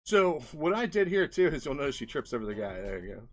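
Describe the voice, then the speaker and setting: A man's voice: on the high side, very animated, fast. A man giving casual commentary as an indie game developer, rambling and thinking aloud as he goes. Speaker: indie game developer presenting his experiments. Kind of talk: casual screen-share commentary.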